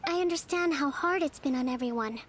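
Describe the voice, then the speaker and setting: A woman playing a composed, caring young resistance leader in a video game, speaking in a formal, measured, earnest way. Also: sits high, lightly acted. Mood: grave. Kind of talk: scripted video game dialogue.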